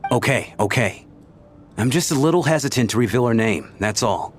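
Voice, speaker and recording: A cagey, smitten bodyguard from a bygone age, acted out by a man for a video game. He confides hesitantly and dramatically in a mid-pitched voice, with music playing behind him. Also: expressive, normal speed, lightly acted. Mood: hesitant, earnest. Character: lovestruck, cagey bodyguard man from centuries past.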